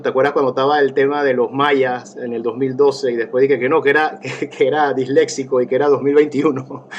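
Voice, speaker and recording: A man's voice: a little high, a little hiss or hum. A man, a therapist talking as an interview guest, reflective and rambling with many restarts and fillers. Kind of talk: casual conversational interview.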